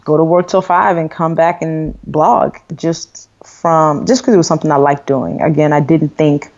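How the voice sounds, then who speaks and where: A woman's voice: low-pitched, steady tone, moderate pace, vocal fry, darkish tone. A woman in her thirties, born in Nigeria, talking candidly as an interview guest with frequent fillers. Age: 30s. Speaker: guest author and blogger. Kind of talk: interview conversation. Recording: dull lo-fi sound.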